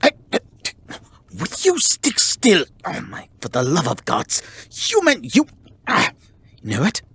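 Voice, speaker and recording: A man playing a haughty, grudgingly caring male kitsune in an ASMR roleplay, speaking formally and theatrically with a breathy, husky voice. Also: sits high, huge pitch swings, unhurried, a bit bright, no echo, full character voice. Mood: flustered, irritated. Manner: formal, theatrical, exasperated scolding. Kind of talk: ASMR roleplay acting, addressing the listener.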